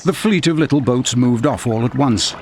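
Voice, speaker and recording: An actor playing a calm storybook narrator in a video game, reading scripted narration. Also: male voice, mid-range voice, moderate pace, background noise, close to an everyday voice. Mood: measured.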